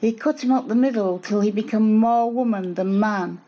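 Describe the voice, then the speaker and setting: A woman's voice: medium pitch, moderate pace, dark tone. A woman reading narrative poetry aloud as a recital. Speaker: poetry reader. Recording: dull, muffled lo-fi sound.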